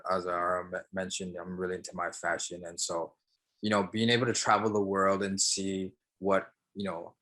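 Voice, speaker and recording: A young man with a very low voice and a bright, forceful edge to it. He answers interview questions casually, pausing often with fillers and restarting his sentences. He grew up after leaving Sri Lanka as a child. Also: low-quality recording. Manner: halting, many fillers and restarts. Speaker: guest, barber and entrepreneur.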